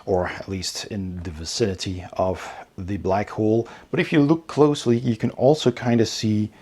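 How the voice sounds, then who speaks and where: A man's voice: fairly low pitch, fairly even intonation, normal speed, dark tone, breathy edge. A man hosting a casual unboxing video, thinking aloud and hesitating as he describes each item. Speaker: host of a board game unboxing channel.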